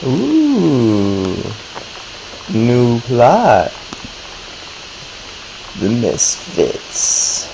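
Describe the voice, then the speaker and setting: A man plays a game and muses aloud casually. His speech is extremely slow but swings lively in pitch, with clear noise on the recording. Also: poor audio. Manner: musing to self. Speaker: game player. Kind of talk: casual gameplay chat.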